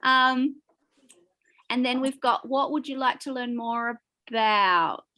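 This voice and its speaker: A woman co-hosting an online class, upbeat and quick to laugh as she reads out poll results. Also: on the high side, pushed and bright, low-quality recording. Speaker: co-host.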